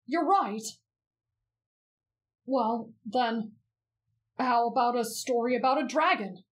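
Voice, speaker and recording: A woman voicing a gentle, earnest, patient teenage boy in an anime bedtime-story roleplay. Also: on the high side, takes it slowly. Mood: earnest, kindly.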